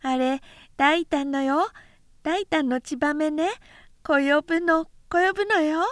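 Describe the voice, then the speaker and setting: Storybook toddler boy, played by a woman: high pitch, lisped syllables, earnest and stubborn. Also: measured pace, dry recording, clean studio sound. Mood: insistent. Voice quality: babyish lisping, mangled syllables.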